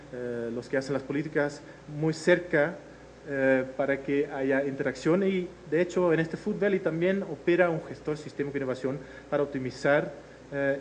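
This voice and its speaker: A man's voice: fairly high pitch, a little hiss or hum, rough recording. A man from the Netherlands, a native Dutch speaker with an accent between Chilean Spanish and Dutch, giving a lecture presentation full of "eh" hesitations. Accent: mix of Chilean Spanish and Dutch. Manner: frequent hesitations with "eh". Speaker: speaker presenting a case study.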